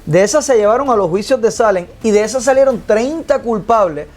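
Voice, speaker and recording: A man co-hosting a casual panel podcast, talking in an animated way with many fillers and restarts. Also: sits high, a little fast. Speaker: podcast co-host.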